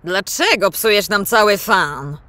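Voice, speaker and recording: A woman's voice: mid-range voice, animated, a little slow. A woman voicing a sly, mocking female cartoon cat gangster, haughty and drawling. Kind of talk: animated film dub. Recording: loud effects noise, clicks.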